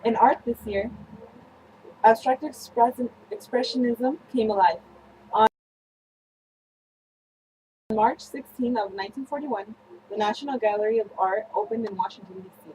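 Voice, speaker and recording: Soft-toned young woman, higher voice, halting newscast read. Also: slight background noise, rough recording. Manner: halting delivery with slips and self-corrections. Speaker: student newscast presenter. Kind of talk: scripted news-style narration for a history project.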